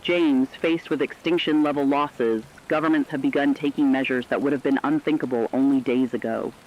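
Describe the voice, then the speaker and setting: A man voicing a serious radio correspondent, delivering a scripted catastrophe report in a sober, deliberate, dramatic way. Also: medium pitch, steady tone, lo-fi sound, lightly acted. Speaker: news correspondent.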